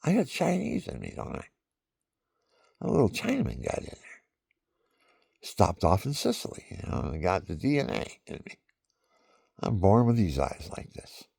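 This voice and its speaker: A man's voice: lowish voice, huge pitch swings, moderate pace, gravelly, pauses often, a little mellow, breathy edge, no echo, clean studio sound. A man telling rambling personal stories in a meandering way, repeating himself and chuckling at his own tales. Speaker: vlogger.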